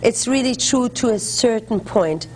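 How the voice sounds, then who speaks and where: A woman raised in Germany speaking in a casual talk-show conversation, thoughtful and unhurried, with frequent fillers and restarts. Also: fairly high pitch, expressive, a little mellow. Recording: faint background noise.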